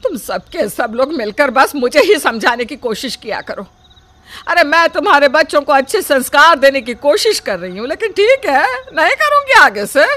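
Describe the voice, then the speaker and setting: A woman's voice: high-pitched, sing-song, normal speed, fairly bright tone, pitched above the performer's own voice. A woman voicing a bossy old grandmother who scolds and favors her grandson. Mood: scolding. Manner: nagging, scolding.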